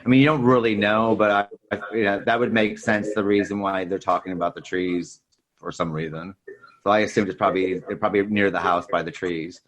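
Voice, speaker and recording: A man's voice: sits low, steady tone, brisk, a bit bright, poor audio. A man co-hosting a casual film discussion, rambling and often tacking on "you know" and "sort of thing". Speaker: podcast co-host.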